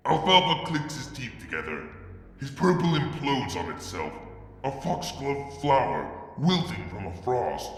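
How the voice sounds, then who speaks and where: A man reading a fan fiction story aloud, in a measured, expressive way. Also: medium pitch. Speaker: fanfic reader. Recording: echoey room with music. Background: music.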